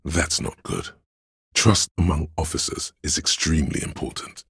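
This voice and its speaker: An exceptionally low-voiced man narrates a game with solemn, literary weight. His voice is husky and rasping, recorded close and dry. Each phrase is laid down deliberately, like scripted drama. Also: a bit bright, no room sound. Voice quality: gravelly, husky, very deep. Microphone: close studio microphone, dry.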